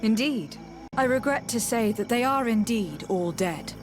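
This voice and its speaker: An actress voicing a composed, diplomatic noblewoman investigator in a video game, speaking in a measured, formal way. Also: on the high side, expressive. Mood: firm, accusing. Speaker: game character voice actor. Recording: background music behind the voice.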